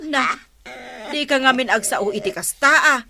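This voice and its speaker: An actress with an Ilocano Filipino accent playing an impatient, bossy, sharp-tongued village woman in a radio comedy. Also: high voice, moderate pace. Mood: reproving. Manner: nagging, exasperated. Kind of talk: comedy radio drama acting.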